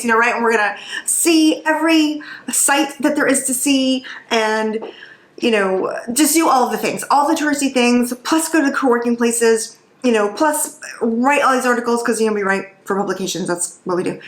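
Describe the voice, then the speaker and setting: A woman co-hosting a travel vlog in casual chat, a little nasal from a head cold, talking in a loose, animated way. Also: medium pitch, echoey room. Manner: chatty, animated, loose and conversational. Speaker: vlog co-host.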